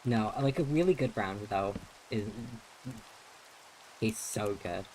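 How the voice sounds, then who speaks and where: A man's voice: fairly low pitch, expressive, a little slow, a little mellow, a little hiss or hum, low-quality recording. Rambling young man with a feminine lilt. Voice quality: voice neutral leaning feminine, light feminine delivery. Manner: rambling, many restarts and filler words. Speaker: game streamer. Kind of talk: casual live-stream chat while playing.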